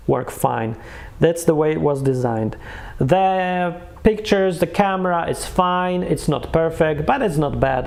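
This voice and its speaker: A man's voice: a little high, vocal fry, darkish tone. A man who is a non-native English speaker gives a casual, unscripted product review, searching for words and correcting himself as he goes. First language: not English.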